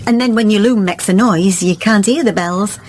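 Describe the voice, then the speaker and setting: A woman's voice: mid-range voice, moderate pace, a little mellow, slight background noise, lightly acted. A woman playing a kindly, pious village wife in a radio drama, chatty and earnest, with a rural English accent. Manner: chatty, homely, earnestly persuasive.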